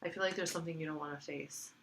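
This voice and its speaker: A woman's voice: deep voice, fairly even intonation, very fast, a bit bright, a little hiss or hum. A woman giving a casual tarot reading, congested from allergies, rambling with lots of fillers and repeating herself. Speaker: tarot reader.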